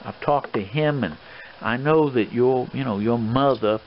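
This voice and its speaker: A man playing a stern, fatherly old colonel in an improvised role play, scolding a young man in a halting, rambling way. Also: mid-range voice, close to an everyday voice. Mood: stern, paternal. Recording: dull lo-fi recording with clear background noise.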